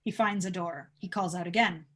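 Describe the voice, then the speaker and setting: A woman's voice: on the low side, moderate pace. A woman narrating stage directions at an informal table read, reading scripted scene descriptions aloud.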